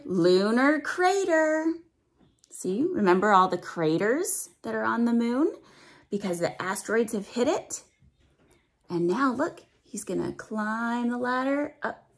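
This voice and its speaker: A woman's voice: fairly high pitch, fairly bright tone. A woman reading a picture book aloud to young children, stretching out the rhymes expressively and adding simple, teacherly explanations and questions for the listener.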